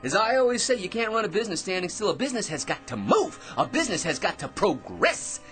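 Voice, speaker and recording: A man's voice: high-pitched, sing-song, fast, a bit bright, soft background music. An actor playing a long-winded, argumentative office bore in his forties who prattles, repeats himself and lectures in a radio drama. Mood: preachy. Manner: pushy, prattling, chuckles at his own points, repeats himself.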